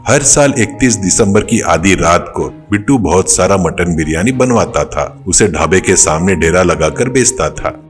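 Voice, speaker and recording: A man's voice: sits low, animated, moderate pace, faint music behind. A man narrating a scripted moral story.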